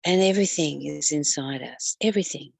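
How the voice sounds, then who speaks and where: A woman reading a spiritual text aloud and adding her own commentary as a teacher, speaking deliberately with pauses and repeated phrases. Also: low-pitched, gravelly, a little mellow, husky, dry recording. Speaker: spiritual study teacher and reader.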